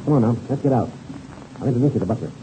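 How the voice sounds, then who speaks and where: An actor playing a friendly, breezy American pilot and mentor with an American accent in a 1930s radio serial. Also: male voice, mid-range voice, very fast, natural voice. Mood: hearty. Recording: faintly hissy old radio recording.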